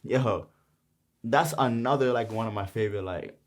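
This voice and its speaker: A man's voice: lowish voice, moderate pace. A young man from Brooklyn with a New York accent, casually talking through the lines of his song in a rambling, slang-heavy way. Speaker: rapper explaining his song. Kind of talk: casual lyric breakdown.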